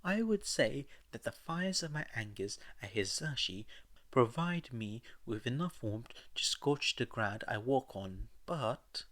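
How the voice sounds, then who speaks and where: A man's voice: mid-range voice, very animated, measured pace, fairly bright tone, pitched above the performer's own voice. Man voicing a politely menacing middle-aged mother. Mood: icy, resolute.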